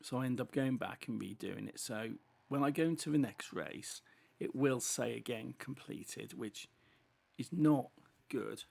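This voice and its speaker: A British man with a hoarse, fading voice and hissy S sounds, giving casual running commentary on a racing game as he drives. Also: medium pitch, a little slow, darkish tone. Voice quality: heavy sibilant S sounds. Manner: chatty running commentary while driving. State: voice going hoarse from a cold. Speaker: gaming channel host.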